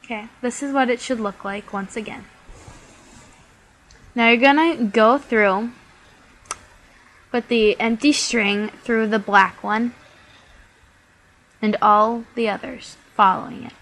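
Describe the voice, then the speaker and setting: A woman's voice: fairly high pitch, steady tone, slow, pauses often, a bit bright, low-quality recording. A young woman, stuffy from a cold, chattily talking through a bead craft tutorial step by step. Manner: chatty, many "like" fillers.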